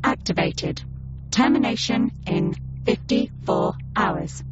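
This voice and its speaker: A woman's voice: mid-range voice, expressive, slow. A woman playing the impersonal automated voice of an alien device in a radio comedy drama, with electronic processing. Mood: impersonal, commanding. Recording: dull band-limited sound, loud music behind.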